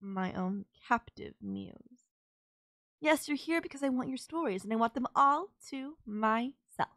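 Creaky-voiced possessive female kidnapper played by a woman. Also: a little high, animated, measured pace, a bit bright, no echo, clean studio sound. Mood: gloating, possessive. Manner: teasing, coaxing, controlling. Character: sultry, possessive, gloating female kidnapper obsessed with her captive. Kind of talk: intimate roleplay audio drama.

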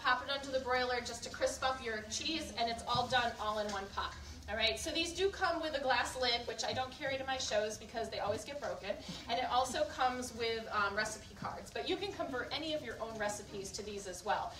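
A woman giving a live kitchenware cooking demonstration to a group, chatty and instructive, often checking in with questions. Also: high voice. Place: indoor room at a live event. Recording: roomy, distant mic, faint background noise.

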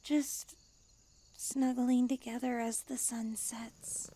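Girl doing a cute smug catgirl for asmr roleplay, slow n languid, kinda high, super dry close recording. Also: flat delivery, warm tone, no echo. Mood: pleading, cozy. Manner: self-important, playfully haughty, languid. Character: cute, smug, pampered, drowsy young female stray catgirl.

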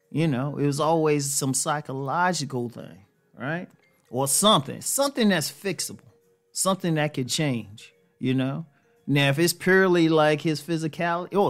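Middle-aged American man with a gravelly voice. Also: mid-range voice. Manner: conversational, emphatic, repeats phrases for emphasis. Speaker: relationship advice host. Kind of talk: casual advice monologue to camera. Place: living room, indoors.

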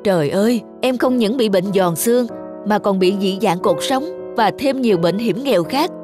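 A woman's voice: mid-range voice, moderate pace, music in the background, close to an everyday voice. A woman voicing a worried young husband in a cartoon, agitated and protective. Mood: anxious, exasperated. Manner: agitated, exclaiming.

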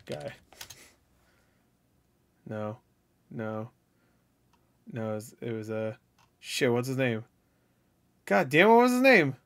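A man's voice: mid-range voice, clean tone. A man giving casual reaction commentary as a host, with slangy, jokey, sweary talk.

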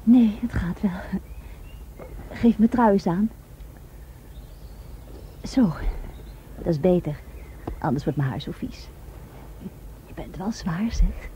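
A woman's voice: on the low side, slow pace, pauses often, dark tone, muffled recording, a little hiss or hum. An actress playing a teasing, sensual young Japanese woman in a Dutch radio drama, intimate and playful.

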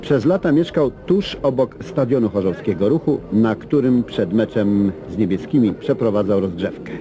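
A man narrating a football report and questioning players in a steady, formal manner. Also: on the low side, moderate pace, background noise. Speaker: sports reporter. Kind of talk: scripted report narration and interviewing.

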